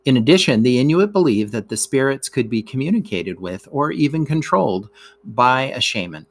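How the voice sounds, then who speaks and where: A man's voice: medium pitch, lively intonation, normal speed. A man narrating a scripted educational lesson as a teacher reading aloud.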